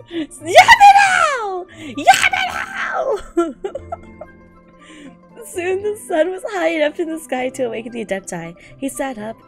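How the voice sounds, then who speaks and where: A young woman, a non-native English speaker, reading aloud and commenting casually as a streamer, slangy, profane, giggly and exasperated. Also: sits high. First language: not English. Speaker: streamer reacting to fan fiction.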